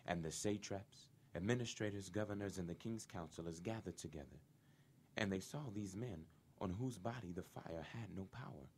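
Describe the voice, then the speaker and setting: A man narrating a dramatized scripture reading in a measured, solemn manner. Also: sits low, a little mellow, slight background noise.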